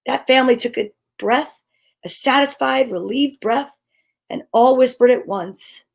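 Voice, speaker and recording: A woman storyteller with a fairly high, husky voice reads a children's tale aloud. She is expressive and leaves dramatic pauses between short, emphatic phrases.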